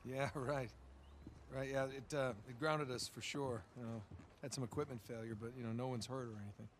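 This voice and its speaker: A man's voice: medium pitch, fairly even intonation, a little fast, slight background noise, lightly acted. An actor playing a wry adult male treasure hunter in a video game, explaining his theories. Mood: evasive, hushed.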